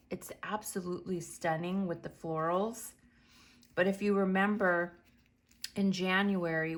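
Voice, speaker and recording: A woman chatting through a plan-with-me vlog, her voice a little raspy from being sick, rambling along with frequent ums. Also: lowish voice. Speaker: planner vlogger.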